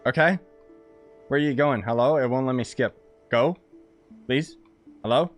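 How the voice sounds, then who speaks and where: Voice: mid-range voice, normal speed, soft background music. A game streamer giving casual, sarcastic reaction commentary over gameplay, dropping in short interjections.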